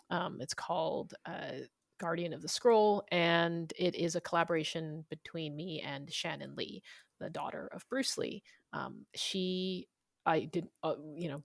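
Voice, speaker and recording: A woman's voice: on the low side, gravelly, no echo, studio quality. A woman being interviewed as a guest author, talking in an informal, thoughtful way. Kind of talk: conversational interview.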